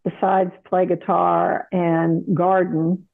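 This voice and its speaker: A woman, a retired doctor and interview guest who tells her story in a measured way with light laughs. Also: lowish voice, flat delivery, creaky. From South Carolina, USA. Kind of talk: casual interview chat. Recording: tinny phone-like line.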